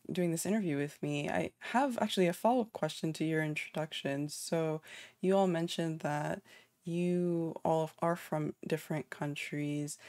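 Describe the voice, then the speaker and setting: A woman from North America hosting an online interview, asking friendly, measured questions with a few fillers. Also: low voice, vocal fry, warm tone, dry recording.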